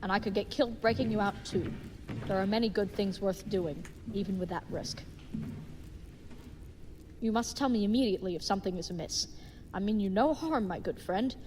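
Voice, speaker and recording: A woman's voice: medium pitch, moderate pace, pauses often, darkish tone, a little hiss or hum, natural voice. Woman playing an earnest dim gallant knight, reverberant. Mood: gentle, sincere. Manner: formal, stilted, uncontracted, chivalrous speech. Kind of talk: audio drama acting.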